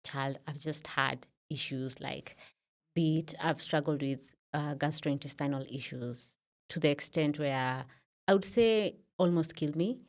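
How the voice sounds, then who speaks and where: A woman's voice: sits low, unhurried. A Kenyan woman around thirty, a podcast guest in a studio, telling her story in a reflective way with many fillers. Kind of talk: casual interview talk.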